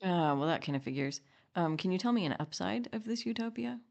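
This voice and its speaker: A woman's voice: fairly low pitch, lively intonation, fairly quick, creaky, darkish tone. A woman asking questions and commenting in a casual conversational style, on a slightly dull recording.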